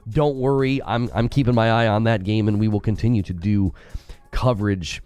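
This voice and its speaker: A man hosting a gaming news live stream, chatty and opinionated, his voice slightly hoarse and lower while recovering from being ill. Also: fairly even intonation, moderate pace, darkish tone. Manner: opinionated, chatty, thanks viewers by name. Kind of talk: live-stream commentary and chat shout-outs.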